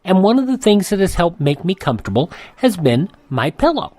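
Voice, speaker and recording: A man's voice: high-pitched, sing-song, brisk, darkish tone. An older man hosting a radio show, reading an ad in a rambling, folksy, conversational way. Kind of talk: conversational ad read and show introduction.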